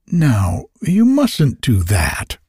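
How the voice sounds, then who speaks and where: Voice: medium pitch, sing-song, takes it slowly, dark tone, husky, dry recording, clean studio sound, pitched above the performer's own voice, exaggerated voice. A narrator voicing a kindly, curious little girl in a storybook, earnest and full of wonder. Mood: motherly.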